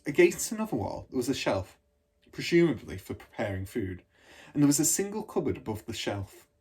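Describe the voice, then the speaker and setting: A man reading a children's storybook aloud like a teacher, in an expressive, measured storytelling manner. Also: mid-range voice, husky. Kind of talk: storybook narration.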